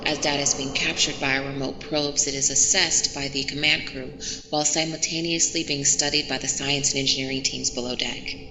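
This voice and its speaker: A woman's voice: a bass voice, fairly even intonation, fairly quick. A woman playing an earnest field reporter recording log reports aboard a starship in a sci-fi audio drama. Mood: measured, earnest. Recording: echoey hall with noisy ship ambience.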